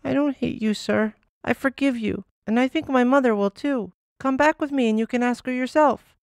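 A man voicing a shy, earnest little girl who speaks softly and nervously. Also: very high voice, normal speed, creaky, clear, resonant tone, long reverb tail, voice pushed up. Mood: gentle. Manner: soft and nervous.

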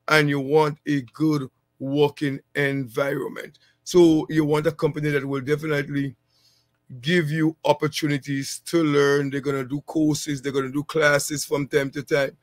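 A man's voice: fairly high pitch, no room sound. Man in his thirties giving headset-mic advice. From a small island. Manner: relaxed, rambling, many fillers. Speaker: channel host, HVAC technician. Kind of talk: casual advice vlog.

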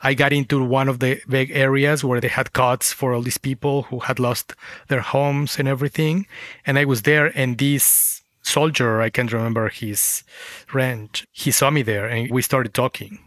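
A man's voice: mid-range voice, fairly even intonation, normal speed, fairly bright tone, no room sound. A man, a Spanish speaker, telling stories reflectively as an interview guest. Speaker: guest author, former journalist.

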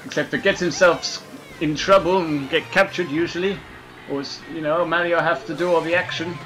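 A man doing comic gameplay commentary in a fake French accent, excitable and hammy, laughing at his own jokes. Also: on the high side, a little fast, soft background music, low-quality recording. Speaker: let's play gamer.

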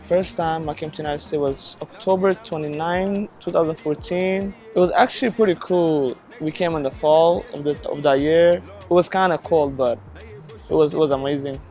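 A young man from Ethiopia, a recent high school graduate, talking casually outdoors and using lots of "like". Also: normal speed, faint music behind. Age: young adult. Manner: relaxed, with fillers like "like". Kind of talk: casual testimonial. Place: outdoors by a brick building.

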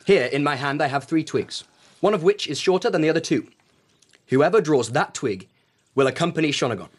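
A man's voice: fairly high pitch, animated, a little fast. A man playing a whimsical, romantic emperor of old Japan in a radio drama, with a breezy, regal manner. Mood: breezy, eager.

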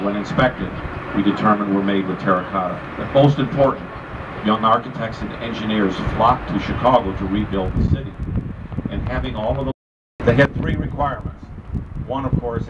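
An older, retired man giving live architecture tour commentary on an open boat, telling it like a storyteller with dry humor. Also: fairly low pitch. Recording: distant muffled mic, loud wind and engine noise. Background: loud wind, outdoor city and boat noise.

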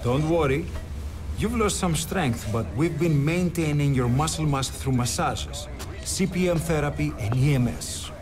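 An actor playing a grave, middle-aged male hospital doctor in a video game, with a measured, soothing manner. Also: fairly high pitch, close to an everyday voice. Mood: grave, gentle. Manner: measured, soothing, halting explanations. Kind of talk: cinematic video game acting. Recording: music behind the voice.

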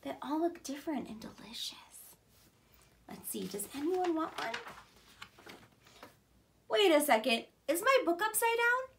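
Woman, thirties, early childhood read-aloud; high-pitched, unhurried, expressive and simple in her explanations. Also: gravelly, slight background noise. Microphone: camera a short distance away.

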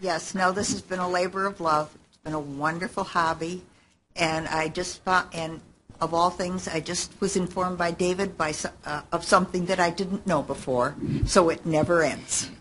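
An older woman makes informal remarks into a table microphone. Her voice is somewhat low for a woman, and a faint ambient noise of the room sits behind it. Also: poor audio. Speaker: panelist, dedicated fan and archivist. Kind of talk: casual remarks. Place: hotel meeting room at a convention. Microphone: table microphone on a stand.

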